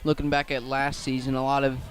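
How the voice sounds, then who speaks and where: American guy doing live football play-by-play, pretty flat delivery, trips up and restarts bits. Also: medium pitch, a little fast, a little hiss or hum. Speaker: play-by-play commentator. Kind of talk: live radio football commentary. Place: outdoors at a football field.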